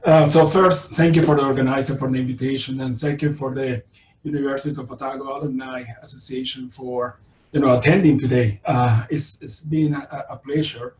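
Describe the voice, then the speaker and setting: A man's voice: mid-range voice, fairly even intonation, darkish tone. Venezuelan man lecturing over a roomy call. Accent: Venezuelan Spanish. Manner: halting, many fillers and restarts. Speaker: virology professor presenting. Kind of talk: webinar lecture. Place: indoor room on video call. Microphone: laptop webcam on video call. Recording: roomy, distant, faint noise.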